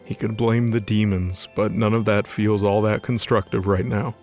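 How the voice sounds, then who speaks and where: A man narrates a dramatized fantasy tale from a script. His voice is on the low side, with music playing softly behind it. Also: steady tone, moderate pace.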